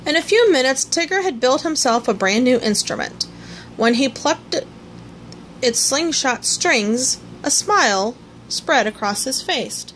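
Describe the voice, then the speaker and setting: A woman reading a children's story aloud in an expressive storytelling manner. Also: high voice, a little slow, a little hiss or hum, low-quality recording. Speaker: storybook reader. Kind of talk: scripted children's story narration, read aloud.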